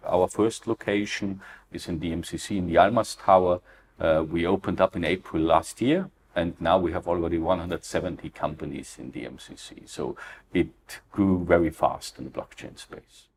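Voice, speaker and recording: A man's voice: sits low, moderate pace. A middle-aged Austrian man with an Austrian German accent, a founder answering interview questions earnestly, with frequent fillers and non-native phrasing. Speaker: crypto ecosystem founder, guest.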